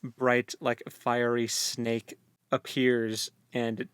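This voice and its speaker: A man's voice: medium pitch, level tone, extremely slow, a bit bright, smooth, no echo, studio quality. A man co-hosting a book discussion in a casual, meandering way, repeating words as he goes. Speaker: co-host of a book discussion show.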